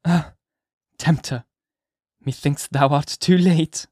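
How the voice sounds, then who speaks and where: A man's voice: a little high, steady tone, takes it slowly, mellow, no room sound, studio quality. A man voicing an anguished fervent minister. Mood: solemn. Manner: impassioned, pleading, raised voice. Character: frail anguished male minister, pious and fervent.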